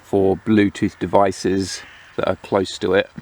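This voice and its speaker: Low-pitched man reviewing gear. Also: little pitch movement, darkish tone. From United Kingdom. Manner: unhurried, explanatory. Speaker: product reviewer. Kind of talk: casual hands-on product review. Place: outdoors among greenery. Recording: clear outdoor background noise.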